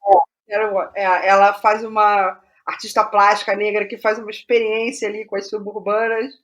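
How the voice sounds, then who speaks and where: A Brazilian woman speaking as a guest playwright in a casual interview, digressive and halting, often restarting her words. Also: medium pitch, level tone, a bit bright, muffled recording.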